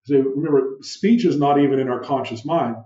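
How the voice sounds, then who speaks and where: A man with a big, commanding voice explains ideas on a podcast, asking rhetorical questions along the way. His tone is mellow and dark. The audio sounds muffled and echoey, as if from a roomy remote setup. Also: medium pitch, fairly quick. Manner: animated, uses vivid room analogies and rhetorical questions. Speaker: podcast guest, business founder. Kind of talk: explanatory interview talk.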